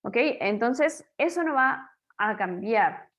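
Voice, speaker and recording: A woman's voice: a little high, animated, fairly bright tone. Patient Spanish-speaking woman teaching English grammar. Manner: patient, explanatory, asks and answers own questions; slows down to model English example sentences. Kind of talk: recorded grammar lesson.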